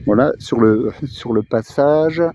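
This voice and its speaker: A man's voice: mid-range voice, takes it slowly, dark tone. A man giving a casual, hesitant running commentary outdoors as an amateur radio operator. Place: outdoors on a mountain viewpoint. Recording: noisy outdoor recording with radio sounds.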